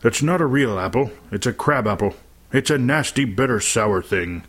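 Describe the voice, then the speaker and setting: Casting: narrator playing male teacher, firm and quiet, slightly lifted pitch, storybook reading. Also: lively intonation, moderate pace. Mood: stern, concerned. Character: stern, soft-spoken male schoolteacher.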